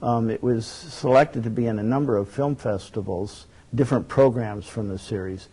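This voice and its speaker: A man as a guest on a talk show, explaining his work earnestly and at a measured pace, with frequent fillers and restarts. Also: medium pitch, warm tone, breathy edge, poor audio. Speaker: guest, documentary network director.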